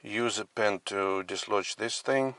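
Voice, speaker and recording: A man giving a casual product demonstration as a collector, speaking in halting, piecemeal phrases. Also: lowish voice, fairly bright tone. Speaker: radio collector presenting his collection. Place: inside a parked car.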